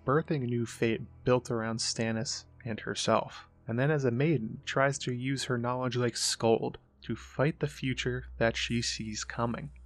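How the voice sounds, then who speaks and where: A man narrating a scripted analytical essay as the host, in a measured explanatory way. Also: medium pitch, steady tone, soft background music. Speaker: host of a book and mythology analysis show.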